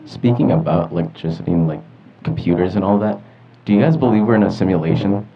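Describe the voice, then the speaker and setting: A man's voice: low voice, fast talker, a little hiss or hum. A young man chatting casually as a panelist, in an informal way peppered with "like". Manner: informal, frequent "like". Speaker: talk panelist. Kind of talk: casual chat. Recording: dull lo-fi audio with music behind.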